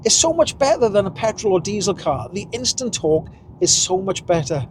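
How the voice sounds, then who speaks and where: A man's voice: sits high, big pitch swings, brisk, slight background noise. A Welsh man in his fifties, chatting casually and rambling while he drives, recorded in his car. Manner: chatty, rambling, chuckles at himself. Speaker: vlogger. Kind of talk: casual driving vlog. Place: inside a car while driving.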